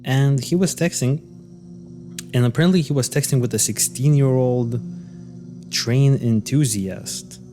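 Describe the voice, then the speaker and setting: A man's voice: medium pitch, a little slow, pauses often, warm tone, faint music behind. A man co-hosting a podcast, recounting a case in loose, hesitant casual chat full of fillers and restarts. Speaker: podcast co-host. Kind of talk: casual conversational storytelling.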